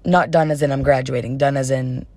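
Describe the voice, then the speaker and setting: A woman's voice: very deep voice, steady tone, brisk, warm tone, no room sound. A young adult woman hosting a casual solo podcast in an informal, chatty way, her voice slightly worn as if she is starting to lose it. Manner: informal, giggly, uses y'all. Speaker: podcast host.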